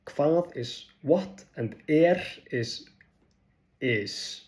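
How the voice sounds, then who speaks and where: A man's voice: a little high, lively intonation, very slow, a little mellow. A young man from Iceland, giving casual language-learning tips in a rambling, self-deprecating way. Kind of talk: casual talking-head tips video.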